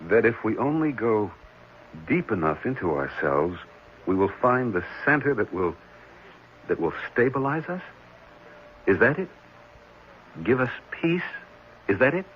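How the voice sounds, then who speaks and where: A man playing an earnest, searching questioner in a 1970s radio drama, probing in a halting way. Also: medium pitch, very animated. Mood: earnest wonder. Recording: muffled, noisy 1970s radio recording.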